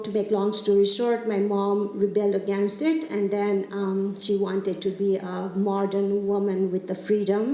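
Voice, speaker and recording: A woman in her fifties from Nepal with a Nepali accent, giving a casual talk to a club about her community TV show. She speaks in a friendly, halting way, with many fillers and restarts. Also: mid-range voice, mellow, echoey room, low-quality recording. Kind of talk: casual presentation.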